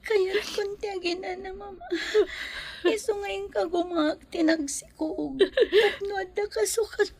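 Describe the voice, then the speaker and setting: A woman's voice: very high voice, fairly even intonation, unhurried. A woman playing a fearful, loving, pregnant young wife in an audio drama, speaking with emotional, pleading delivery. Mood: tearful, pleading.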